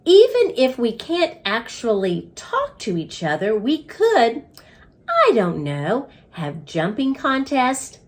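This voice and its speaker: A woman voicing a small, friendly, excitable male pet hamster in a children's storybook. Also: on the high side, slow, heavily acted. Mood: hopeful, excited.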